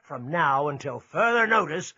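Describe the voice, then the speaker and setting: An actor playing a bullying prison-ship officer, barking and taunting in an audio drama. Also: male voice, a little high, animated, moderate pace, bright, forceful tone, exaggerated voice. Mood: scornful authority. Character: bullying, sneering British prison-ship officer, gruff and mocking. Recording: muffled recording, crowd behind.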